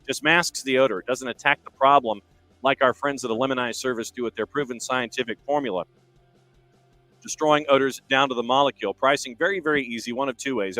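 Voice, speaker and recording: A man's voice: medium pitch, sharp tone, dry recording. A male sports talk host with a mid-range voice and a wordy style that wanders into asides. He is recorded close and dry, with a music bed faintly behind him. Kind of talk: casual sports talk and live-read sponsor spots. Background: background music.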